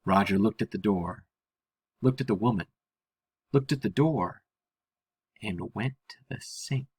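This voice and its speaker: A man's voice: on the low side, measured pace, a little mellow, slightly rough, dry recording. A man reading a short story aloud as narrator, expressively.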